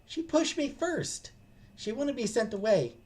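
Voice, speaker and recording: A man's voice: very high-pitched, very animated, measured pace, a little mellow, pitched above the performer's own voice, exaggerated voice. A man voicing a frightened, distraught young woman from a visual novel, stammering and pleading fragilely. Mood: panicked, tearful. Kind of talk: visual novel character read-aloud.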